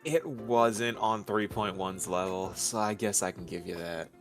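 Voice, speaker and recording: A man's voice: on the low side, unhurried, faint music behind. A young man chatting casually as a guest co-commentator. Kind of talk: casual chat.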